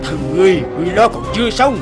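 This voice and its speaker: A man's voice: sits high, expressive. A man voicing a menacing, boastful tiger in a cartoon fairy tale. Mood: menacing, gloating. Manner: boastful, taunting, drawn-out threats. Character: menacing tiger who threatens, then pleads. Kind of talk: cartoon voice acting. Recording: loud music bed behind voice.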